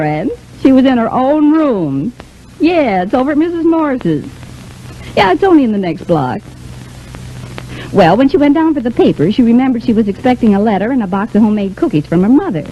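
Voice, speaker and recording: Muffled, hissy old radio recording of a brisk, bossy showgirl who looks after her friends. Her mellow voice swings up and down in an old soap-opera style, chatty and always in charge. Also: a little high. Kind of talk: 1930s-40s radio soap opera acting.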